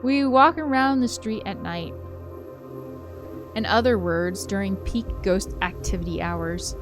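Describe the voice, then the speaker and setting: A woman reading a visual novel aloud as a let's play narrator, in an expressive first-person storytelling manner. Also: mid-range voice, measured pace. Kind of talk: live read-aloud of a story game, with casual asides. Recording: music behind the voice. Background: game soundtrack music.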